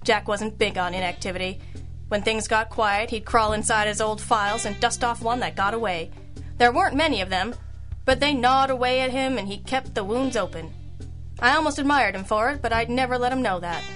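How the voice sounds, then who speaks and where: Female actor as wisecracking lady sleuth: higher voice, dry, unimpressed, noir radio style over music. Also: brisk, a bit bright, natural voice. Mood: dry, knowing. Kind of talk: old-time radio noir acting and narration.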